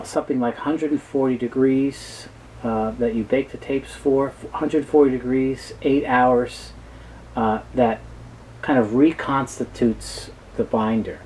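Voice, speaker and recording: A man giving a halting, explanatory technical talk as an expert guest in an interview. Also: mid-range voice, fairly even intonation, a little mellow, a little hiss or hum, rough recording. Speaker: guest expert on reel-to-reel tape.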